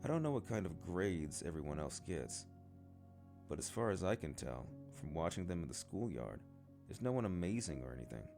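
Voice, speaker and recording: A man's voice: sits low, big pitch swings, moderate pace, creaky, over music, exaggerated voice. A man reading the part of an earnest, aloof young ninja boy in a storybook, terse and serious. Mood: serious, defensive.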